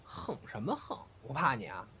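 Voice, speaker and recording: Man playing a lively, cheeky young crosstalk comedian. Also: mid-range voice, normal speed. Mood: grudging, impatient. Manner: theatrical, teasing, playful. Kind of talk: crosstalk comedy dialogue in audio drama. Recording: loud background noise.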